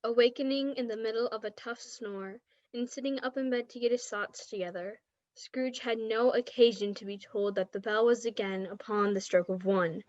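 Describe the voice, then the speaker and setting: A woman's voice: a little high, fairly even intonation, moderate pace, darkish tone, no room sound, dull audio, close to an everyday voice. A teenage girl reading scripted storybook narration for a radio play. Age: teens.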